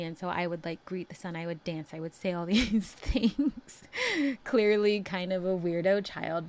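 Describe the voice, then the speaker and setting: Chatty woman telling her own story. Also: fairly low pitch. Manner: casual, chatty, laughs often, many filler words. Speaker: podcast host. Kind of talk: personal storytelling monologue.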